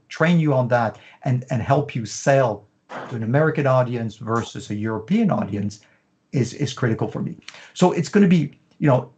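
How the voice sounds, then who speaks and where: Middle-aged male consultant, mid-pitched, slightly dull online-call sound, talks in long lists. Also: darkish tone. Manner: talks in long lists with many "you know" fillers. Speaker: fashion business consultant. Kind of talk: interview.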